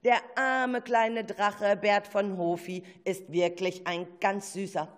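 A woman narrating a children's radio play in an expressive, drawn-out storytelling manner. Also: mid-range voice.

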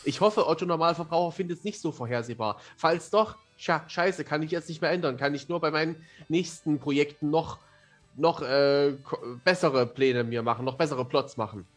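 Male interview guest, high-pitched and husky, wry and self-mocking, relaxed colloquial phrasing. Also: normal speed, soft background music. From Germany. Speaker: fantasy author, podcast guest. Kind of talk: casual interview chat.

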